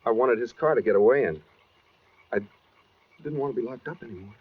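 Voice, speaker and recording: Man playing a husky, menacing madman. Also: medium pitch, brisk, close to an everyday voice. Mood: cold, brooding. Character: menacing escaped madman, cold and deliberate killer. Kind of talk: 1940s radio suspense acting. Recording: thin, narrow 1940s radio recording.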